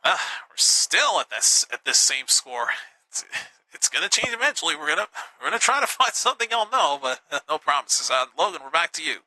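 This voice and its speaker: A man hosting a live game show with an upbeat, teasing manner, a little hoarse. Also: a little high, very animated, a little fast, bright, forceful tone, dry recording, rough recording. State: slightly hoarse.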